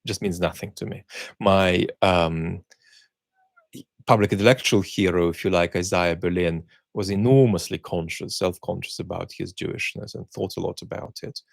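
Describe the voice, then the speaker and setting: A middle-aged man, born in the Soviet Union with Russian as his first language, musing aloud as he answers viewer questions, pausing often with ums. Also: sits low, steady tone, creaky, no echo. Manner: measured, thinking aloud with many ums and pauses. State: unwell, speaking while cared for. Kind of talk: reflective solo chat.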